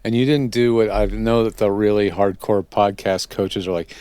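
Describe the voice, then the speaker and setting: A man's voice: lowish voice, steady tone, normal speed, warm tone, clear, resonant tone, no echo, studio quality. A man hosting a podcast interview, chatting casually in a conversational, self-deprecating way. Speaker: podcast host interviewing a guest.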